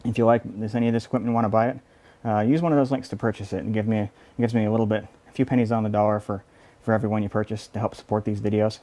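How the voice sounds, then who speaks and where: Low-voiced man presenting an audio gear demo. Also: level tone, rapid-fire, warm tone. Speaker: video host, audio equipment reviewer. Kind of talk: casual product demo.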